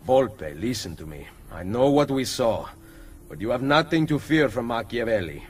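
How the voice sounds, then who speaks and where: An actor playing a calm, resolute Italian assassin leader in a dramatic video game scene. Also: male voice, medium pitch, moderate pace, slight background noise, lightly acted. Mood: earnest, firm.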